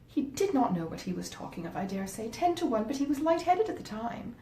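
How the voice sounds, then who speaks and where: A woman's voice: big pitch swings, fairly quick, dark tone. A woman voicing a selfish, wheedling wife from a classic novel, emphatic and coaxing in her delivery. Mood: dismissive. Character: selfish, wheedling wife scheming against her sisters-in-law. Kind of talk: acted dialogue in a classic novel reading. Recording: roomy echo, faint rumbling noise.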